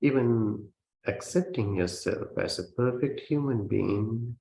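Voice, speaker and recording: Slow, gravelly man guiding meditation. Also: on the low side. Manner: gentle, measured, with long pauses between phrases. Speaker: Buddhist monk, meditation teacher. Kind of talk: guided meditation. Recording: roomy, reverberant sound.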